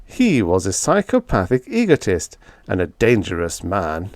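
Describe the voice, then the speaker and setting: A man narrates a science fiction story from a script. His voice is fairly low and moves expressively in pitch. The recording is dry, with no room sound. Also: wildly swooping pitch, a little slow, darkish tone, dry recording. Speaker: audiobook narrator.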